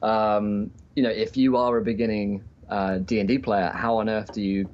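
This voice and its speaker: Male podcast guest, lower register, conversational and hesitant, dull remote-call sound. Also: flat delivery, moderate pace, darkish tone. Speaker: podcast guest, tabletop game streamer. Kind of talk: casual interview chat.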